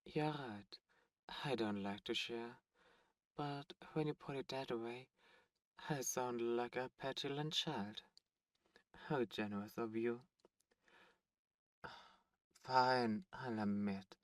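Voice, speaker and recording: A man playing a haughty, teasing demon buyer in an ASMR roleplay audio drama, speaking with smug, suave deliberation and dramatic pauses. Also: mid-range voice, dry recording, distant mic, natural voice.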